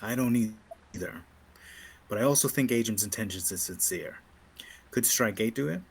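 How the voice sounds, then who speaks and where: A man reading as a husky, stern rebel major. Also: on the low side, moderate pace. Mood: resigned, commanding. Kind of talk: sci-fi audio drama table read.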